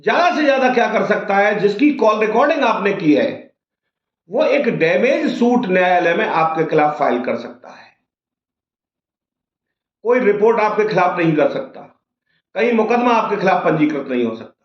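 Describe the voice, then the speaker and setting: A man's voice: sits high, very animated, moderate pace. A man giving an informal legal explainer to viewers in a didactic way, posing questions and answering them himself. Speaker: advocate explaining law to viewers. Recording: dull, roomy, echoing sound.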